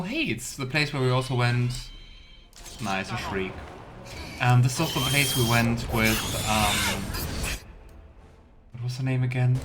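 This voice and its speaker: A man giving casual, musing let's play commentary with wry teasing asides. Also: medium pitch. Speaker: game streamer.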